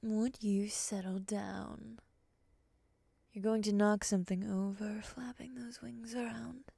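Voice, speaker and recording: A woman playing a composed, bossy, possessive moth monster in an ASMR roleplay, speaking softly and breathily, often near a whisper, with a measured, scolding manner. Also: medium pitch, steady tone, creaky, no echo, exaggerated voice. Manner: measured, scolding, coolly teasing.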